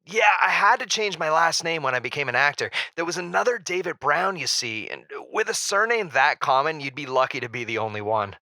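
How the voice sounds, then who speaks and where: Bright-voiced, hesitant male radio guest, acted by a man. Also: fairly high pitch, animated, a little fast, few pauses, no room sound. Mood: genial, modest. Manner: polite, hesitant, nervous chuckles. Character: middle-aged male actor, genial, modest, uneasy radio guest. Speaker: guest on a radio quiz show. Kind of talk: audio drama in radio show style.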